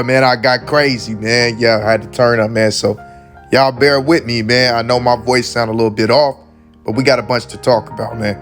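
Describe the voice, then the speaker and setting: Hoarse male host, earnest casual commentary, faint music behind. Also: mid-range voice. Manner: earnest, repeats "man" often. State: hoarse, losing his voice.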